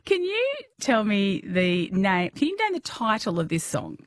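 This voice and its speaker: A woman's voice: medium pitch, very animated, measured pace, very noisy. A woman hosting a phone-in radio quiz, chatty and encouraging, often laughing. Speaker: radio host.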